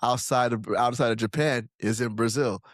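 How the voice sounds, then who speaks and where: A man's voice: medium pitch, steady tone, normal speed, no room sound. Podcast dude, mid voice, laughs through his stories, does little voices, dry mic. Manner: laughs while talking, acts out quoted voices. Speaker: podcast co-host. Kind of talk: casual chat.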